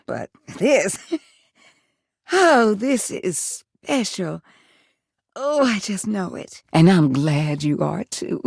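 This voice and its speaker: Creaky, sultry sweetheart appliance. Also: female voice, medium pitch, no room sound, studio quality, exaggerated voice. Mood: overjoyed. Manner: folksy, drawling, full of pet names. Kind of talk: video game voice acting.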